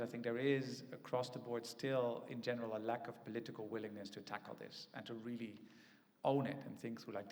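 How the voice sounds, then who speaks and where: A man on a conference panel, speaking thoughtfully with many "ums" and tag questions like "right?" and "no?". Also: medium pitch. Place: large conference hall. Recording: echoey conference hall.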